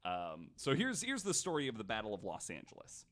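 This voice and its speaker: Low-voiced man, casual podcast storyteller. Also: huge pitch swings, fairly quick, a bit bright. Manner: informal, hedging, frequent "like". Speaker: podcast host telling a true story. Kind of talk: casual storytelling among friends.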